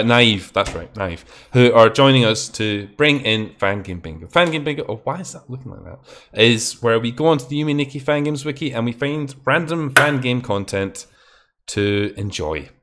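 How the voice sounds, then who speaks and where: A man's voice: on the low side, fairly bright tone. A young Scottish man hosting a live stream, chatting casually and rambling, with plenty of swearing and false starts. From Scotland. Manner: rambling, swearing, often restarts and exclaims. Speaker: stream host.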